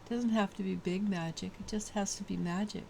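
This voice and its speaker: A woman with a low voice giving a daily tarot reading, halting and full of restarts. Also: fairly even intonation, gravelly, warm tone, a little hiss or hum. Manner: halting, many restarts and fillers. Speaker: tarot reader.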